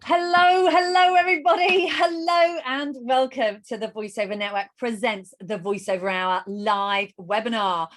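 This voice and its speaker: Bubbly, high-voiced woman hosting a webinar. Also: fairly even intonation. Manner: enthusiastic, gushing, rattles off lists quickly. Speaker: webinar host. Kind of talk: upbeat live webinar hosting.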